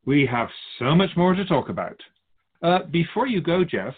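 A wise otherworldly keeper of souls from a radio drama acted by a man. He muses in a formal, philosophical way with gentle wryness, his voice rising and falling freely and slightly husky. It comes through a thin, phone-like band. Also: on the high side, very animated, moderate pace. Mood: wry, friendly. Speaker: spirit guide being interviewed. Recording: muffled phone-like narrow band.

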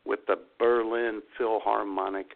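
A man's voice: on the low side, flat delivery, a little slow, vocal fry. A man co-hosting a radio show with casual, wry, joking banter. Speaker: radio co-host. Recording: narrow, tinny phone-like sound.

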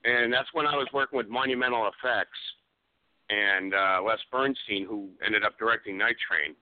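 A man's voice: lowish voice, moderate pace, no echo. Man chatting on a tinny phone line. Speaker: special effects artist, interview guest. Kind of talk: casual interview chat.

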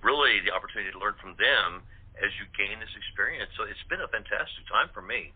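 Man, quick pace, husky lowish voice, enthusiastic casual guest, tinny phone line. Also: expressive. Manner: enthusiastic, appreciative. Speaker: radio-play cast member, guest. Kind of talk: casual chat.

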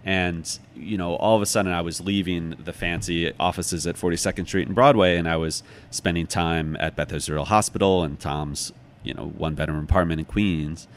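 A man with an American accent and a low-pitched voice talks in a reflective, conversational way. He sounds like an author in an interview, thoughtful and often searching for the right phrase. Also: fairly even intonation, normal speed. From United States, Chicago.

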